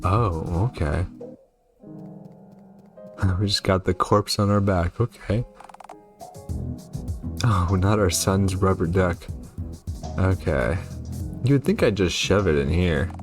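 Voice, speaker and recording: A man's voice: sits low, slow pace, pauses often. A man giving commentary on a horror game, reacting and reading on-screen text aloud. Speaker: gaming video host. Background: game music.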